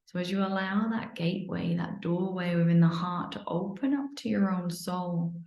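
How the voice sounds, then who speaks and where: Creaky, mellow woman guiding meditation. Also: fairly low pitch, muffled recording. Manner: soothing, unhurried phrasing with breathy near-whispered moments. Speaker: guided meditation leader. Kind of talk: guided meditation, improvised live. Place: indoor room, live online video session. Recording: roomy, echoey sound.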